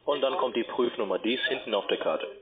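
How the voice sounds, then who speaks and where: A man's voice: mid-range voice, quick pace. A man working as a call centre agent, instructing a customer step by step over the phone. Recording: phone line with busy call-centre chatter.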